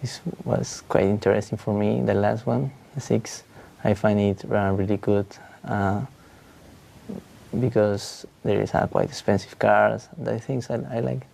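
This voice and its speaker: A man's voice: fairly low pitch, steady tone, unhurried, creaky, a little mellow. A young man from Peru with a Peruvian Spanish accent, answering hesitantly in an English speaking test. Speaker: English exam candidate.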